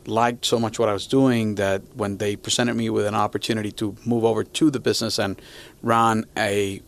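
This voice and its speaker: Gravelly low-voiced man from Venezuela. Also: flat delivery, normal speed. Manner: hesitant, restarts often, friendly. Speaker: podcast guest. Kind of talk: conversational interview answers.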